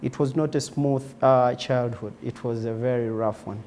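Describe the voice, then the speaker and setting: A man's voice: medium pitch, steady tone, moderate pace, darkish tone. A man in his twenties with a Ugandan accent, telling his personal story conversationally as an interview guest. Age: 20s. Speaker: interview guest, former footballer.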